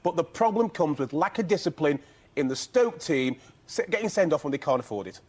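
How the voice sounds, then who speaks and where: A man's voice: a little high, big pitch swings, brisk, a little mellow, slightly rough. A man giving unscripted football analysis as a studio pundit, sometimes repeating words as he starts.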